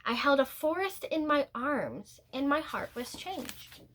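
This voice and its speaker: A woman reading a picture book aloud as a teacher would, in a deliberate, expressive storytelling manner with drawn-out words and pauses. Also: high voice, slight background noise. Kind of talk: picture-book read-aloud, scripted narration with brief asides.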